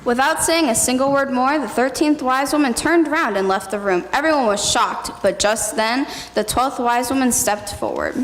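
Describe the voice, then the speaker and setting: A woman's voice: high-pitched, moderate pace, few pauses. A woman reading a scripted fairy tale as the narrator of a readers theatre performance. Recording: noisy hall with long reverb.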